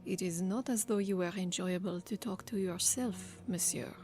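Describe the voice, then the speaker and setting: A woman's voice: fairly low pitch, moderate pace, music in the background, lightly acted. A woman voicing a foppish masked male bard with a French accent, in a languid, drawling manner. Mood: arch, dismissive.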